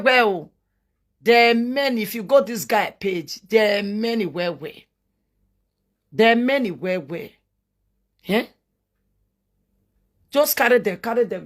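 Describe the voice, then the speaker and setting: A woman from Edo State speaks with a Nigerian Pidgin accent in a middle-pitched voice. She gives reaction commentary and repeats herself as she pleads with her listeners. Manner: repetitive, earnest, pleading. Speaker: online commentator.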